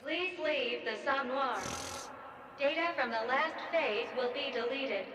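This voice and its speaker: A woman's voice: high-pitched, a little slow. A woman voicing an impersonal, detached system announcer giving warnings in a video game. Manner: detached, repetitive. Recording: echoey, distant, dull, noisy announcement.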